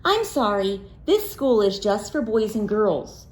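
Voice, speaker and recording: A woman's voice: medium pitch, sing-song, measured pace, darkish tone. A woman voicing a firm but kindly schoolteacher in a children's storybook, instructing her class.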